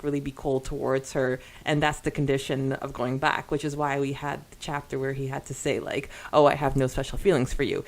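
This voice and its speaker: Lower-voiced woman chatting on a podcast. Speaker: podcast co-host. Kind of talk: casual fan discussion podcast.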